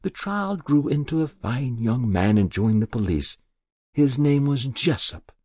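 A man voicing an elderly woman quietly confessing to a long-ago murder, in a raised, lively voice. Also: medium pitch, unhurried, dry recording, studio quality, pitched above the performer's own voice. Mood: pained.

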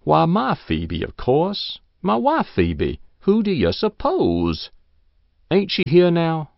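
A man's voice: a little high, huge pitch swings, slow pace, gravelly, no echo, pitched above the performer's own voice, exaggerated voice. A man voicing a thin, pale, confused old farmer who speaks in rustic speech. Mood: eager. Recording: dull lo-fi audiobook recording.

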